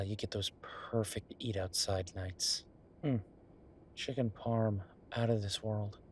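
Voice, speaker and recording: A man playing an easygoing chef in an audio roleplay, talking in a casual, musing, explanatory way to his partner, with a slightly husky voice. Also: fairly low pitch. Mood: fond, reminiscing. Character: easygoing male chef planning restaurant business with his partner. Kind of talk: one-sided roleplay acting, casual chat.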